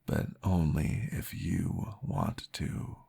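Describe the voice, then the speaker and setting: A man voicing a calm, patient male vampire therapist, soft-spoken and reassuring, often dropping into a whisper in an ASMR roleplay. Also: deep voice, slow, full character voice. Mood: soothing whisper. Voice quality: gravelly, husky. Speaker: therapist guiding a listener.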